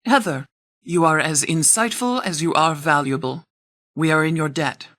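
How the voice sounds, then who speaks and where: Woman playing a video game wasteland gang member, grave, loyal, measured, solemn, lowish voice, very dry sound. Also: a bit bright, dry recording. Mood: grateful.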